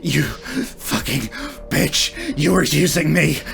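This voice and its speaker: A man's voice: a little high, big pitch swings, slow pace, creaky, fairly bright tone, soft background music, voice pushed up, heavily acted. Man voicing a frantic, cursing wounded cyborg woman. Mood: betrayed fury.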